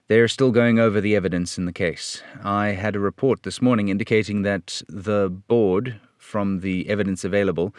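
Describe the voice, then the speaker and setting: A man playing an earnest, fatherly police detective in an old radio serial style, measured and authoritative. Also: on the low side, no room sound, studio quality, lightly acted.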